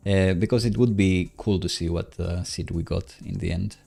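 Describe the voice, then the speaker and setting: Guy live coding, mellow creaky voice, talks himself thru stuff, stops and starts, bit of music under it. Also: sits low, steady tone. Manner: halting, musing, self-questioning. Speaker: live-streaming programmer host. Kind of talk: casual live coding commentary, thinking aloud.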